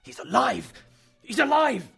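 A man's voice: fairly low pitch, lively intonation, a little slow, a bit bright, soft background music. A man playing an emphatic, affectionate older professor. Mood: overjoyed.

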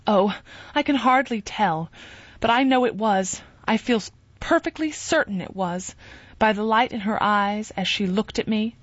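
A woman's voice: medium pitch, measured pace, dry recording, lo-fi sound, voice pushed up, close to an everyday voice. A woman reading an anxious, easily upset young woman in a story, her voice quavering and pleading. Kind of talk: audiobook character reading.

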